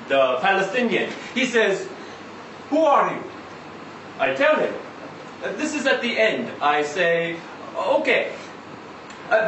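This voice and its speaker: Man acting a high-pitched, weary truck driver, echoey room. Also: a bit bright, exaggerated voice. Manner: halting, rambling, foul-mouthed, repeatedly seeking agreement. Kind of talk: live dramatic monologue reading of a short story. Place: live literary event in an indoor venue. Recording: echoey room, distant mic, faint noise.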